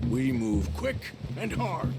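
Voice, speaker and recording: An actor playing a commanding, sardonic American outlaw gunslinger in an Old West video game. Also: male voice, mid-range voice, sing-song, slow pace, creaky, exaggerated voice. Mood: firm, commanding. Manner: dry, sardonic, authoritative. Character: commanding male outlaw gunslinger, dry and needling. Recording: loud music behind the voice. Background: loud music.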